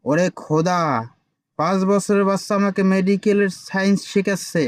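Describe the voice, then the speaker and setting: A man's voice: high voice, steady tone, normal speed, dry recording, studio quality, close to an everyday voice. A man voicing an easily flustered, incredulous village doctor in a comic cartoon. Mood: exasperated. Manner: incredulous, exasperated.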